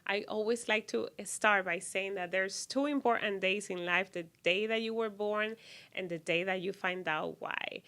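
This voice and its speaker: A woman's voice: medium pitch, steady tone, normal speed, a bit bright. A woman hosting an interview show, effusive and rambling through her questions with fillers. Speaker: host.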